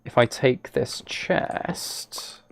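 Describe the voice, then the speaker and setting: A man chatting casually on a live game stream, thinking aloud as he plays and talking to his viewers. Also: very slow.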